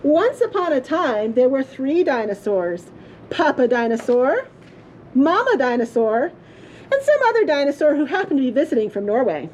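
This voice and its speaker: A woman storyteller reading a children's story aloud in a playful, theatrical way with drawn-out emphasis. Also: high-pitched, big pitch swings, darkish tone, slight background noise. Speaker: librarian storyteller. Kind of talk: expressive children's storybook reading for a puppet show.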